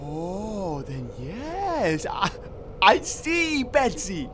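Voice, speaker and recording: A man's voice: high voice, huge pitch swings, drawn-out words, fairly bright tone, slight background noise, heavily acted. An actor playing a nervous, secretive boy in an audio drama, stammering and evasive. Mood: awkward, subdued.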